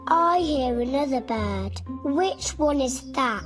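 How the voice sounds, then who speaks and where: A woman's voice: sits high, animated, slow pace. A woman playing a cheerful, trusting little girl in a children's fairy tale, speaking in a friendly, simple way to young listeners. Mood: cheerful teaching. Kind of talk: children's storybook animation. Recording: music behind the voice.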